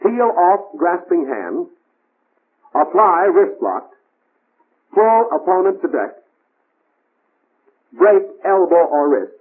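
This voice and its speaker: A man's voice: high-pitched, very animated, slow, long pauses. A man narrating a 1940s military training film in scripted, clipped, imperative instructions. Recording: tinny band-limited 1940s film soundtrack.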